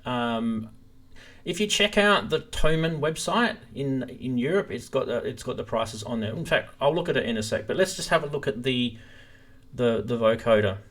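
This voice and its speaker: A man with a mid-pitched voice hosts a casual live stream demo. He explains things in a loose, rambling way, speaking into a stream microphone. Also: expressive, moderate pace, a bit bright. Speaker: host of a synthesizer live stream.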